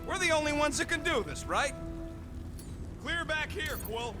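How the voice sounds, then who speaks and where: An actor playing a brash, sarcastic little raccoon mercenary in a video game, with gruff, slangy delivery. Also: male voice, very high-pitched, lively intonation, normal speed. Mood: gung-ho. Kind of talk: video game character dialogue. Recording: loud game music behind.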